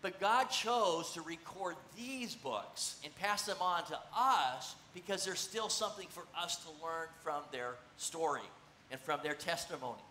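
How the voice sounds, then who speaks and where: A middle-aged man preaching a casual, conversational sermon from a church stage, animated and gesturing as he teaches. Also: on the high side, unhurried. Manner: animated, conversational, gesturing while seated on a stool. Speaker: pastor. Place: church stage, large room. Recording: echoey church room, faint noise.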